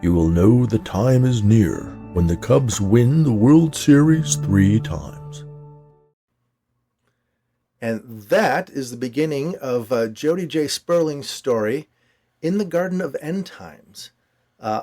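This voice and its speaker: A man's voice: mid-range voice, dark tone. A man hosting a fiction podcast, interviewing guests and narrating stories. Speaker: podcast host and story narrator.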